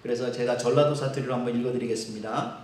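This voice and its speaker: Brief: male Seoul-accented pastor, mid-pitched, relaxed sermon delivery in a reverberant church. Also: a little hiss or hum. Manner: conversational, with filler pauses. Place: church sanctuary. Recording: echoey church hall.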